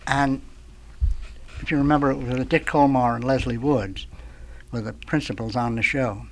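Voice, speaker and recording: A man's voice: medium pitch, steady tone, a little hiss or hum, poor audio. Older man telling rambling radio anecdotes. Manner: rambling, halting, groping for words. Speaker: radio veteran guest, former sound effects man. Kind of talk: anecdotal storytelling on a talk show. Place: radio studio.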